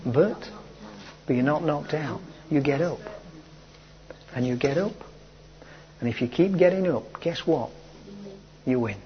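A man preaching a sermon to his congregation, speaking slowly and emphatically with repeated phrases, while he is losing his voice. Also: fairly high pitch, muffled recording, a little hiss or hum. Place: church hall with a congregation.

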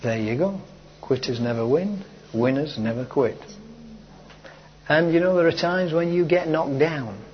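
Slow-preaching man, voice going hoarse. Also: fairly high pitch, dull audio. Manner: slow, emphatic, repeats key phrases. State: losing his voice. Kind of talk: sermon. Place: church hall with a congregation.

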